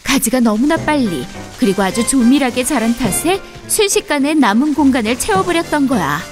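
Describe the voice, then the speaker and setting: A woman narrating a scripted children's fairy tale in an expressive storybook manner. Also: high-pitched, a little fast, music in the background.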